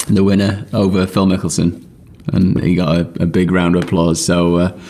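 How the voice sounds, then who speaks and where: A man's voice: deep voice, monotone, normal speed, dark tone. A man telling a chatty anecdote in a recorded clip. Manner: chatty, laughing storyteller. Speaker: interviewee in a played clip.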